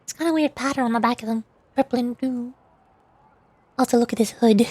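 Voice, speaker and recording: A woman's voice: a little high, fairly quick, a little mellow, slightly rough, no echo. A woman giving casual, playful, rambling commentary as she streams a game and does amateur voice acting.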